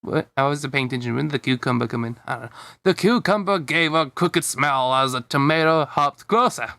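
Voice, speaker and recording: Male amateur story reader, slightly high pitch, stumbly and giggly, joking asides, dry recording. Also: brisk, vocal fry, bright, forceful tone, dry recording. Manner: stumbling, giggly, mocking the text as he reads. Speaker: fan fiction reader. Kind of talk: casual amateur read-aloud with joking asides.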